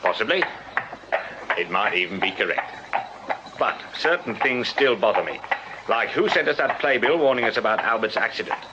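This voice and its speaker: An English actor playing a dry, dogged Victorian detective sergeant in a radio drama, sardonic and authoritative. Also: male voice, on the low side, lively intonation, moderate pace, long pauses, lightly acted. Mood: measured, shrewd. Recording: muffled radio drama, noisy crowd behind.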